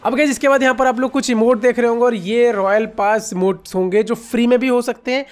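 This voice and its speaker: High-pitched Indian man, chatty game commentary. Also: steady tone, quick pace, soft background music. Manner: chatty, informal, addressing viewers as friends. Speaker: gaming video host. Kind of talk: casual reaction commentary on game leaks.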